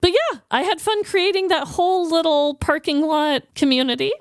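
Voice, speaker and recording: An American woman co-hosting a podcast, telling stories in a casual, comedic, giggly and expressive way. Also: very high-pitched, normal speed, no room sound, studio quality. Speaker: podcast co-host. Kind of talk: casual comedic storytelling and chat.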